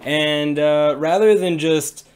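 A young man with a cold-raspy, hoarse voice, talking casually and rambling with many fillers on a livestream from a small room into a webcam. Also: on the high side, takes it slowly, darkish tone. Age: young adult. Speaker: streamer. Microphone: webcam at desk.

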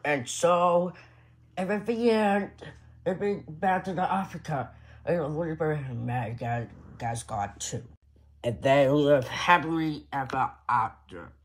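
A man improvising bedtime stories in a halting, rambling, playful way. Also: a little high. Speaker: storyteller. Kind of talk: improvised bedtime storytelling with toys.

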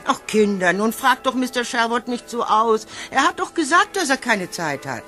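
A woman's voice: on the high side, sing-song, moderate pace, bright, forceful tone, faint music behind. An actress playing an indulgent, motherly aunt in a children's audio drama.

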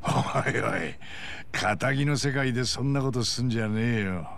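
An actor playing a gruff, businesslike middle-aged boss in a video game, talking bluntly in rough masculine speech. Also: male voice, medium pitch, normal speed. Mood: gruff, chiding. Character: gruff, businesslike older male boss of a security firm. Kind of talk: Japanese video game voice acting. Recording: music playing behind the voice. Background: loud background music.

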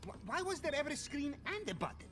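An actor playing an eccentric, gloomy submarine captain in a video game, with a fretful, stilted way of talking. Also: male voice, very high-pitched, very animated, fast talker, music in the background. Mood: baffled, fretful.

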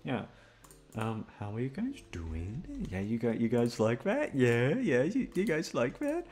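A man's voice: mid-range voice, huge pitch swings, unhurried, a little mellow, soft background music. A young man chatting casually to his listeners, rambling and jokey, often tongue-tied and self-deprecating. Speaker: voice actor, channel creator. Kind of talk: casual outro chat.

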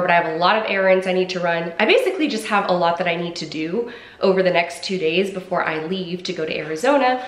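A young woman vlogging at home in a chatty, upbeat way, her voice nasal and stuffed up from a cold. She sits close to the camera mic, and the bare rooms around her add a roomy echo. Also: on the low side. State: congested, stuffed up. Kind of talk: casual vlog talk to camera. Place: home, indoors. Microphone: camera mic at arm's length.

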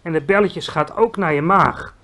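A big, friendly, eccentric giant, put on by a male storyteller, who bellows his words and stretches them out slowly. He sounds high and lively for a man, exclaiming with muddled grammar as he explains his strange world. A read-aloud storybook voice. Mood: lecturing. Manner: mangled grammar, loud exclamations, drawn-out words.

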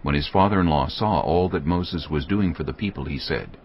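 Deep-voiced man narrating scripture. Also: a little fast, highs cut off, slight background noise. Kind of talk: dramatized scripture reading.

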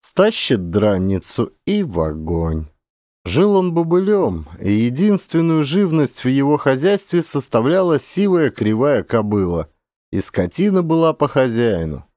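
A man narrating a literary story as a dramatized audiobook, with expressive, theatrical delivery. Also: mid-range voice, dry recording, studio quality.

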